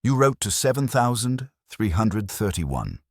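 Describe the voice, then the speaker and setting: A man voicing a courteous, mild police inspector in an audio mystery story, gentle, formal and understated. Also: fairly low pitch, sing-song, a little slow, no room sound, studio quality, pitched above the performer's own voice, heavily acted.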